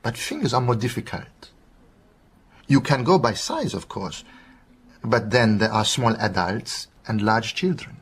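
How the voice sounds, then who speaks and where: An actor playing a genial, cautious doctor in a radio drama, speaking in a courteous, measured way with a Southern African accent. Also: male voice, mid-range voice, big pitch swings, fairly bright tone, slight background noise. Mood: thoughtful, precise. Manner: courteous, measured, precise phrasing.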